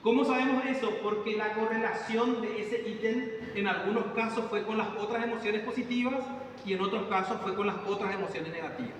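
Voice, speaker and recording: A high-voiced man lectures a class, walking students through the analysis step by step and quizzing them as he goes. He sounds far from the recorder, in a big echoing room with a long reverb tail and steady background noise. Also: a little fast. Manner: explains step by step, asks the class questions. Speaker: psychometrics teacher.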